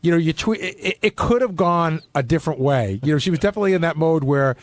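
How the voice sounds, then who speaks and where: A man's voice: fairly high pitch, expressive, fast talker, dry recording. A man bantering casually on a radio panel, with a blunt, teasing manner. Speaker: radio show regular.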